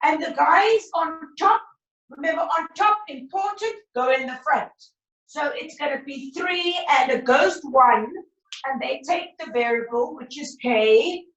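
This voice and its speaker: A woman maths teacher, teaching a class over a video call in a brisk, encouraging way, her voice croaky. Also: sits high, a bit bright, highs cut off. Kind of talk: online class lesson. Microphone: laptop video call. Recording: roomy far-off video call audio.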